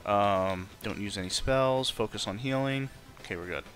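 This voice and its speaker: A man's voice: lowish voice, normal speed, a bit bright. A man chatting casually as he streams and plays a video game, with game music behind him. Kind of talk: casual chat while playing a video game.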